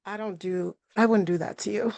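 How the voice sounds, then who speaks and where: An actress playing a hurt, fed-up young woman quarrelling with her fiancé in a live dark comedy script read, accusing and weary. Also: medium pitch, fairly even intonation, normal speed, vocal fry, no room sound, lightly acted. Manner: accusing, weary, sharp-tongued.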